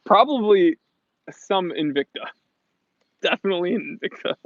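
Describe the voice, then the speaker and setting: A man's voice: sits high, animated, normal speed, dry recording. An American man from New England hosting a casual livestream Q&A, chatting in a slangy, jokey, rambling way. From New England, USA. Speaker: livestream host. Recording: muffled lo-fi audio.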